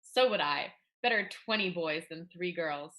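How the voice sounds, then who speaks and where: A woman's voice: lowish voice, animated, moderate pace, bright, forceful tone. A woman playing a rueful, defiant teenage schoolgirl in a dramatic reading of a period play. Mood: frank, rueful.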